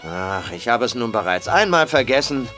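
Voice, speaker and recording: Jolly patronising old-time farmer in a german audio drama, very animated, faint music. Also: male voice, medium pitch, moderate pace. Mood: breezy, patronizing. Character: breezy, patronizing male plantation farmer of the 1850s.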